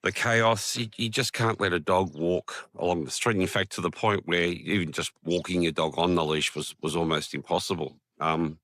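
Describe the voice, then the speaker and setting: An Australian man with a croaky voice from a cold, talking casually in a rambling vlog with many ums and restarts, outdoors in a quiet park. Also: low voice, fairly even intonation, normal speed, few pauses, no room sound. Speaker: vlogger. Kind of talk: casual vlog talk to camera.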